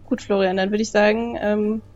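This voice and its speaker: A woman's voice: medium pitch, flat delivery, moderate pace, darkish tone, soft background music. A woman role-playing casually as a player, polite and conversational, on a slightly dull microphone. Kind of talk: casual improvised in-character dialogue.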